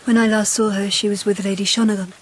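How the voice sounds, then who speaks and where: A woman plays an empress in a radio period drama. Her delivery is measured and formal, that of a composed, probing wife, with faint ambience behind her. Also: medium pitch, level tone, a little hiss or hum, natural voice, low-quality recording. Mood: calm concern.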